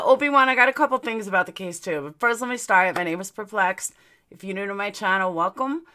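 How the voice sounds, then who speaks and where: A woman's voice: mid-range voice, sharp tone. A middle-aged woman with a New York accent and speech slightly affected by dental aligners, chatting casually and bluntly as a livestream host, rambling and swearing. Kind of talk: casual livestream chat with viewers.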